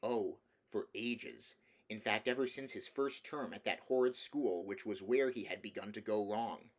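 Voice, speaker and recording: A man's voice: fairly low pitch, unhurried. A man reading a children's novel aloud as scripted storybook narration. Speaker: audiobook reader.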